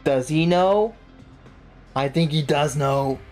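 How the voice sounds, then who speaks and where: A woman's voice: deep voice, slow pace, darkish tone. A woman giving casual gameplay commentary as a streamer, slangy and reactive, talking to herself and viewers. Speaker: gaming streamer. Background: game music.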